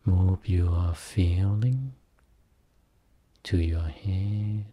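A man guiding a Buddhist meditation as a teacher, speaking soothingly in short phrases with long pauses between them. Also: deep voice, mellow. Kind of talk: guided meditation.

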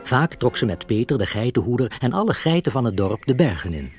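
A man's voice: medium pitch, big pitch swings, brisk, darkish tone, faint music behind. A man narrating a scripted children's story as its storyteller.

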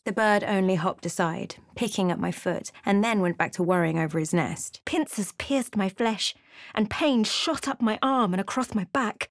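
An actress playing an observant, wry woman adventurer in a fantasy video game, with measured, dramatic storytelling delivery. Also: mid-range voice, dry recording, studio quality, natural voice. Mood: animated, emphatic. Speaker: voice actor for a fantasy role-playing game companion or player character. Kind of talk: scripted video game voice lines, narration and character remarks.

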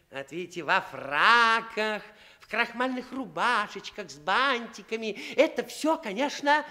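An actor playing Tartaglia, a pompous clownish commedia dell'arte mask, announcing theatrically with comic stammers and drawn-out words. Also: male voice, very high voice, pushed and bright, full character voice. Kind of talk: theatrical comedy master of ceremonies. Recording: Soviet radio stage recording, long hall reverb.

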